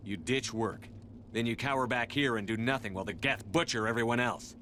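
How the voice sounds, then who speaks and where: A man's voice: mid-range voice, brisk, fairly bright tone, natural voice. A man playing a stern, commanding space soldier in a video game, terse and businesslike as he questions people. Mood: scornful. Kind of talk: cinematic sci-fi game dialogue. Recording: faint background noise.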